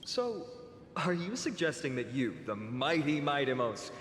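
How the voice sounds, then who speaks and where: Echoey, playful poetic young warrior. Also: male voice, a little high, very animated, unhurried, a little hiss or hum. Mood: teasing. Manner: theatrical recitation, gently teasing. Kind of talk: video game cutscene acting. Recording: echoey long reverb, game music behind.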